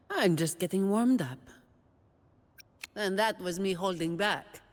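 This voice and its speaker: A woman's voice: medium pitch, takes it slowly, in a large hall, close to an everyday voice. A woman voicing a dutiful, weary young woman guardian in a video game, dry and composed with a confident edge. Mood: taunting, fierce. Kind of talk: video game character voice lines.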